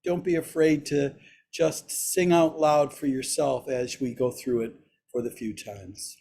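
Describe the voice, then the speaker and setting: A man with a raspy, hoarse voice leading a Buddhist service online, slowly intoning chants and gentle instructions. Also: medium pitch, steady tone. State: hoarse after surgery. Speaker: Buddhist teacher leading practice. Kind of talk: leading a chanted metta practice.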